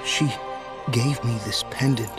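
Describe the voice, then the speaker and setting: A man playing a gentle, shy young bell ringer in a video game, earnest and a little halting. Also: mid-range voice, over loud music, lightly acted. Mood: wonder. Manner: soft-hearted, halting, earnest.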